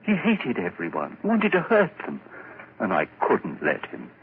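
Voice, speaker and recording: An actor playing an agitated, guilt-ridden madman in 1940s radio horror, halting and repeating himself. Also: fairly low pitch, wildly swooping pitch, exaggerated voice. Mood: earnest. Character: agitated, guilt-ridden madman who hears too much. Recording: dull, noisy old radio recording.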